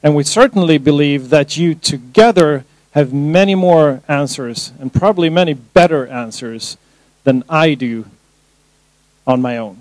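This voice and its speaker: A man's voice: on the high side, a little slow, darkish tone, dry recording, low-quality recording. A man leading a training course, explaining an exercise to a group while standing in a conference room with a handheld mic. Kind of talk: workshop instructions to a group.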